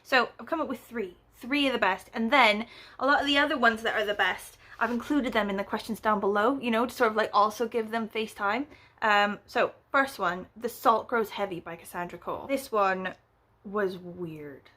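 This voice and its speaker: A woman's voice: medium pitch, normal speed, pushed and bright. A British woman in her thirties, chatting at home on camera as a book vlogger, rambling with lots of fillers and casual swearing. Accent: British English. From United Kingdom. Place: at home, indoors.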